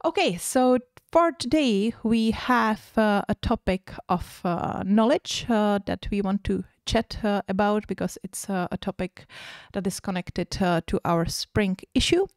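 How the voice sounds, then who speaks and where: A woman's voice: mid-range voice, a little slow, few pauses, dry recording. Woman hosting a livestream, lots of ums, dead dry sound. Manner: hesitant, many fillers. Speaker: co-host of a livestream. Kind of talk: casual livestream chat.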